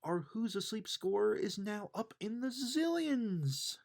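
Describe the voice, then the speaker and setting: A man reading a children's bedtime story aloud in an expressive, unhurried way. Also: sits high, creaky. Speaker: storybook reader.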